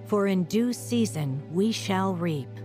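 A woman's voice: medium pitch, animated, takes it slowly, a little mellow, soft background music. An actress playing a devout older street evangelist, earnestly narrating her life story in a radio drama. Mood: earnest, resolute. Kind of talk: radio drama first-person testimony narration.

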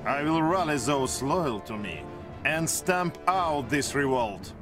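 A man's voice: fairly high pitch, expressive, slow pace, music in the background. A man playing a proud, imperious monarch in a video game, with a stern, haughty and formal delivery. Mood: resolute. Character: proud, imperious male monarch, the Tsar of Russia. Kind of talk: video game cutscene acting.

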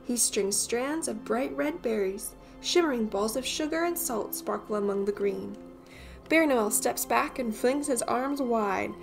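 A woman reading a children's picture book aloud as an expressive storyteller. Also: a little high, a little slow, creaky, faint music behind.

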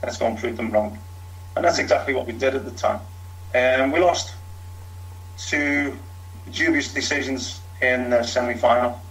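A man's voice: mid-range voice, normal speed, a bit bright, low-quality recording. Frank middle-aged Liverpool man. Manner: frank, talks in long runs with fillers. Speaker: former footballer, interviewee. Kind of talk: candid storytelling.

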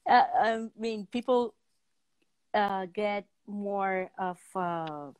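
A middle-aged woman hosting a casual interview, speaking in halting, fragmentary phrases. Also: mid-range voice, darkish tone, smooth. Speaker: talk show host. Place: indoor room, video call.

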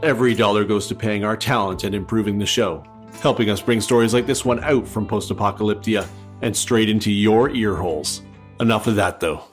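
A man's voice: lowish voice, fairly quick. A man reading scripted closing credits as a show announcer, speaking directly to the listener. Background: soft guitar music.

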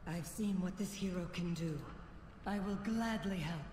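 An actress playing a devout druid of the wilds in a game, reverent and measured. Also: female voice, on the low side, warm tone, slight background noise, lightly acted. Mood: assured. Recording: echoey reverb, music behind.

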